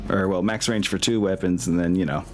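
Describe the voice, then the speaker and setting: A man's voice: sits low, fairly even intonation, fairly quick, a little mellow, slight background noise. A man acting as game master in a casual tabletop wargame session, thinking aloud in an easygoing way.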